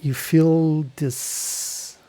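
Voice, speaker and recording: A man as dungeon master, slowly narrating a scene with halting pauses. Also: fairly high pitch, steady tone, dark tone. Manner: slow, halting descriptive narration. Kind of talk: narrating a tabletop game.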